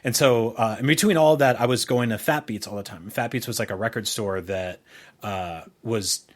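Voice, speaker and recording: A man's voice: on the low side, moderate pace. Middle-aged American man chatting casually. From New Jersey, United States. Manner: rambling, anecdotal, casual swearing. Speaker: podcast co-host. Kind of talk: casual conversational podcast chat.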